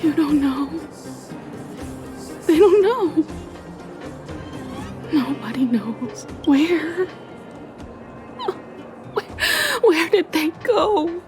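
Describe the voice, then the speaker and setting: A woman playing a distraught, confused woman in a video game, halting and repeating pleading questions. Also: extremely high pitch, big pitch swings, a little mellow, full character voice. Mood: desperate, anguished. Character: distraught, confused woman searching for lost family. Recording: music under the voice. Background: prominent music.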